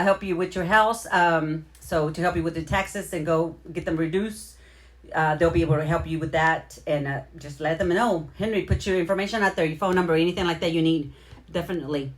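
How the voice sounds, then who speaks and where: A middle-aged woman hosting a casual livestream, chatting informally and slangily as she addresses her viewers directly. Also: on the low side. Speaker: livestream host. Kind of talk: casual livestream chat with shout-outs to viewers.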